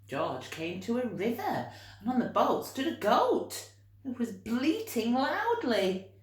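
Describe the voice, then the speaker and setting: Slow, animated woman storyteller, echoey room. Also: on the high side. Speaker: storyteller for young children. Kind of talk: animated children's story reading with asides.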